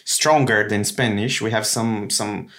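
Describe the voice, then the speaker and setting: A man's voice: fairly low pitch, fairly even intonation, measured pace, fairly bright tone. A man with a Brazilian accent, a native Portuguese speaker, talking casually and reassuringly as a podcast guest in English as his second language. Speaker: podcast guest, comedian and actor. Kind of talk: casual conversation.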